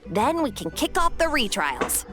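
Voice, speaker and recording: Careful polite young inspector in a game, high voice, swoops around, music under it. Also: female voice, very animated, a little slow. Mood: earnest. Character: earnest, cautious young female arena inspector. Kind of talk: video game voice acting.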